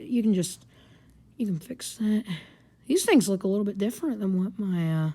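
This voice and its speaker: A mid-pitched voice talks through a hands-on gadget review. The delivery is informal and rambling, like someone chatting at home. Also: a little mellow. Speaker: product reviewer. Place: carpeted home living room.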